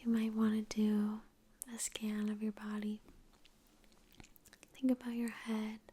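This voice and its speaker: A woman whispering gently and unhurriedly in a breathy voice, close to the microphone, guiding an ASMR meditation with long pauses. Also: mid-range voice, completely flat delivery. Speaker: ASMR creator and meditation guide. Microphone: close microphone in front of the face.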